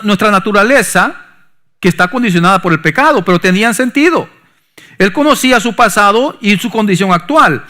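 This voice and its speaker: A middle-aged pastor, preaching a sermon that swings from forceful emphasis to soft, low asides. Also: male voice. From Honduras. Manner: preaching with sudden soft drops and rising emphasis.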